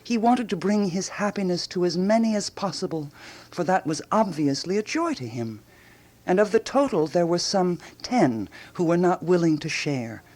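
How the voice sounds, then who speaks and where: A woman acting in a radio drama as a ruminative amateur detective who theorizes aloud with deliberate, rhetorical phrasing and dramatic pauses. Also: fairly low pitch, animated, a little mellow. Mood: provocative musing.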